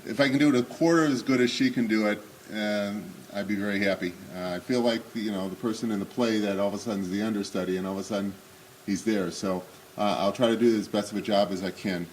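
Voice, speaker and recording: A man in his sixties with a booming voice, giving an unscripted talk at a remembrance service with many pauses and fillers, then reading memoir excerpts aloud. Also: fairly even intonation, slight background noise, low-quality recording. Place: synagogue hall. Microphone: speaking without a microphone.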